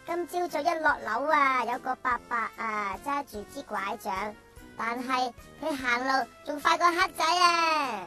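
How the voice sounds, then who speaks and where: Little cow kid, piercing bright tone, high pitch, childlike, teasing, children's radio play. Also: female voice, animated, measured pace, soft background music, heavily acted. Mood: chatty, curious. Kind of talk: Cantonese children's radio drama acting.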